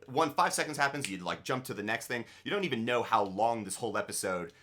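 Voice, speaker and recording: A man co-hosting a TV reaction show in casual chat, halting and correcting himself mid-sentence. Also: medium pitch, lively intonation, a bit bright. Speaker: co-host of a TV episode reaction show.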